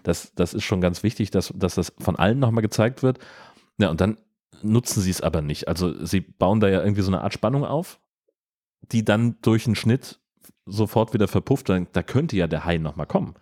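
Deep-voiced man with dry irony. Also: lively intonation, a little fast, a little mellow. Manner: dry, ironic commentary. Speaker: podcast participant. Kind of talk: casual film discussion.

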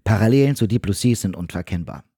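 A man's voice: fairly low pitch, lively intonation, fast, mellow, breathy edge, no echo, studio quality. A man narrating a scripted film recap in a wry, tongue-in-cheek manner.

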